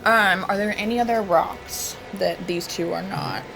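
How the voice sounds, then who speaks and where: A woman's voice: mid-range voice, a little slow, creaky, music in the background, rough recording. A woman playing a tabletop fantasy game, talking casually and asking questions about the scene.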